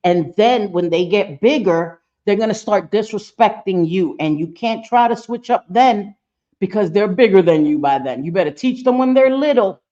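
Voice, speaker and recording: A middle-aged woman with a low voice, giving blunt, profane casual commentary as a livestream host, often laughing. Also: lively intonation, normal speed, mellow, highs cut off.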